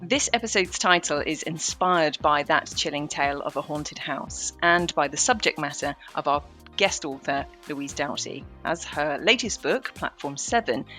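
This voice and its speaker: A woman's voice: deep voice, pushed and bright. A British woman co-hosting a podcast in a chatty but scripted presenting style. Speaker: podcast co-host.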